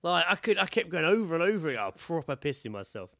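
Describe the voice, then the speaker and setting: A British man chats as a podcast co-host with animated, varied intonation. The sound is dry and close. He tells stories casually, with swearing and an easy laugh. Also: fairly high pitch, racing, creaky, no echo. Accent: British English. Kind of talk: casual banter and anecdotes.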